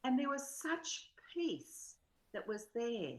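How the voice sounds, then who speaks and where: A woman's voice: on the high side, sing-song, takes it slowly. A woman in a spiritual study group, sharing reflectively and reading aloud in an earnest, introspective way.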